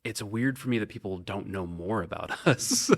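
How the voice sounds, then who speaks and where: American actor with a low voice. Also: male voice, moderate pace, dry recording, studio quality. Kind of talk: conversational interview talk.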